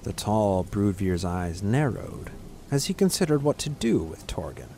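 A man's voice: lowish voice, sing-song, measured pace, vocal fry, mellow, a little hiss or hum. A man narrating a scripted fantasy audiobook in a dramatic storytelling style.

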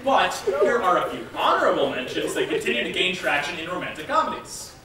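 A young man playing an earnest, mock-serious male romance scientist in a comic stage lecture, announcing with deliberate, projected authority. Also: high-pitched, very animated, crowd noise. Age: young adult. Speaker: stage actor co-presenting rules of rom-coms. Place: large indoor theater stage. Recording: echoey theater from far off, loud audience.

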